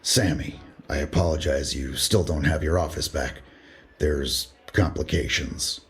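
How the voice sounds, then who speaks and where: Man as a ship lieutenant, calm, direct, extremely deep voice. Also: a little slow. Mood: steady. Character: steady, trusting male ship lieutenant.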